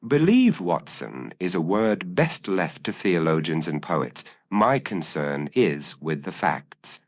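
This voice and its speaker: A man playing a brilliant, impassive detective in a gothic audio mystery, calm, probing and precise. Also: sits low, takes it slowly, dry recording, studio quality, full character voice. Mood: coolly certain.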